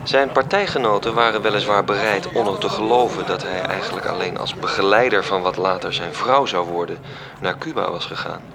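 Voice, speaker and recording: A man's voice: low voice, fairly quick, a crowd in the background. A man narrating a scripted radio drama as its storyteller.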